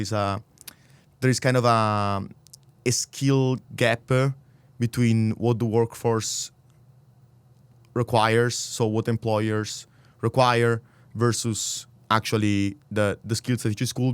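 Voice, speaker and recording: A man in his thirties with an Italian accent, a native Italian speaker, talking as a podcast guest in earnest, halting, restarted phrases. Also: fairly low pitch, smooth, dry recording. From Italy. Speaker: podcast guest, startup accelerator director. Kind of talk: casual interview conversation.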